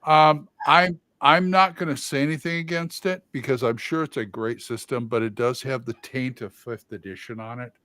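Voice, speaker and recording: A man hosting a livestream, chatting casually about tabletop games, opinionated and halting with sudden loud, excited bursts. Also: mid-range voice, no room sound. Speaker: livestream host.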